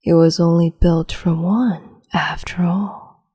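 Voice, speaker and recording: A woman voicing a cruel, capricious empress in a whispered roleplay, slow, mocking and condescending, close to the mic. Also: on the low side, steady tone, warm tone, full character voice. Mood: silky menace. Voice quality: whispery, breathy.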